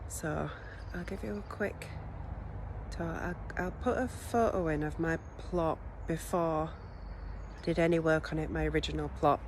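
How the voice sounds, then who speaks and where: A woman's voice: low voice, a little hiss or hum. Sniffly woman touring her allotment outdoors. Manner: chatty, scattered, with many ums and restarts. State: sniffly from a cold. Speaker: allotment gardener giving a tour. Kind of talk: casual walking tour vlog.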